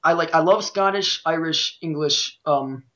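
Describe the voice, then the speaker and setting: A man with a Midwestern American accent hosts a casual podcast chat. His voice sits a little higher than average. The recording is somewhat dull and lo-fi. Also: measured pace, fairly bright tone. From Midwest United States. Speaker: podcast host.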